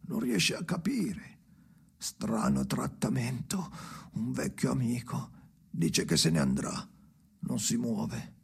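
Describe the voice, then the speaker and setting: An actor playing a tormented, bitter ageing man in a radio drama monologue, raspy and often sinking to a whisper, speaking in slow, halting fragments. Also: mid-range voice, very animated, heavily acted. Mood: bleak, hushed. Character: tormented ageing man haunted by his dead father, brooding, bitter.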